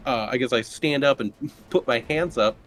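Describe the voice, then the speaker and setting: A man's voice: mid-range voice, moderate pace, a bit bright, soft background music. A man playing in a tabletop roleplaying game, talking casually at the table as a player.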